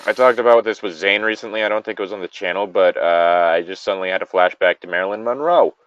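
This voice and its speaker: A man's voice: low voice, fast talker, fairly bright tone, clean tone. A man co-hosting a casual story reading, drifting into tangents and exasperated, sweary asides. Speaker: co-host reading a story aloud with commentary. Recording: dull lo-fi microphone.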